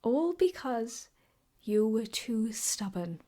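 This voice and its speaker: A woman playing an obsessive, possessive, sweetly menacing ex-girlfriend in an improvised ASMR roleplay, teasing and often slipping into breathy whispers. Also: a little high, sing-song, slow, dark tone, exaggerated voice. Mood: hurt, accusing.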